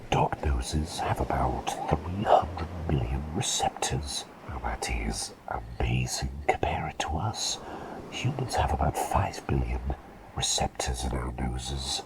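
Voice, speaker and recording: A man playing an eccentric, kindly plague doctor in a breathy whispered ASMR roleplay, speaking in a gentle, fussy, quaintly polite manner. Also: rumbling low, lively intonation, slow pace, very noisy, exaggerated voice. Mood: gently enthusiastic.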